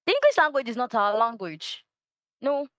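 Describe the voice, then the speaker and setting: A woman's voice: fairly high pitch, wildly swooping pitch, moderate pace, fairly bright tone, dry recording. Passionate woman, dry sound. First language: Yoruba. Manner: passionate, emphatic, repeats key phrases. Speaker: guest, culture advocate. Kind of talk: interview answers.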